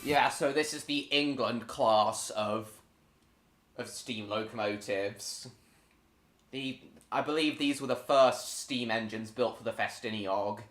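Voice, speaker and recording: A man hosts a live stream, chatting casually and rambling to his viewers. His voice sits at a middle pitch. He is heard in an echoey room. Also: slow, a bit bright. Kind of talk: casual chat while reacting to videos.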